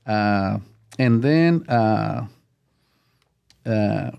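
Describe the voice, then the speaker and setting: Man, 50s, Mexican accent, native Spanish speaker, halting, warm dark voice, interview guest. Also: fairly low pitch, animated, creaky, clear, resonant tone, dull audio. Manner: halting, searching for words in English. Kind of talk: casual interview talk.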